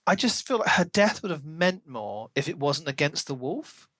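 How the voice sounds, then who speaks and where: A man hosting a podcast, casually discussing and reviewing a book with animated retellings and asides. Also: on the high side, normal speed, dry recording, studio quality. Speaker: podcast host.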